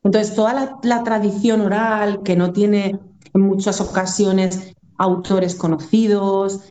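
A woman's voice: mid-range voice, flat delivery, takes it slowly, mellow. A woman from Aragón with a northern Spanish accent, talking in a casual, reflective and discursive way as a musician being interviewed. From Aragón, Spain.

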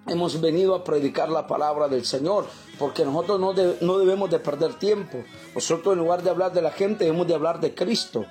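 A man's voice: on the high side, fairly quick, few pauses, darkish tone, soft background music. A man from El Salvador preaching a fervent sermon, often calling out to his listeners as brothers.

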